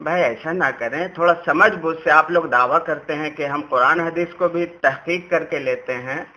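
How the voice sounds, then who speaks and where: A man's voice: on the high side, moderate pace, pushed and bright. A man giving an argumentative religious explanation on a recorded phone call, insistent and lecturing, often repeating himself.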